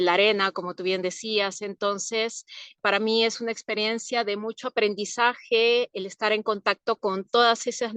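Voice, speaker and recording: This is a young woman from Peru, a plant-medicine practitioner, giving relaxed interview answers. She talks slowly and reflectively, laughing softly now and then. Her voice is smooth and clear, recorded close and dry. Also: medium pitch, dry recording. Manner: unhurried, thoughtful, soft laughs between answers. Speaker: interview guest, herbalist.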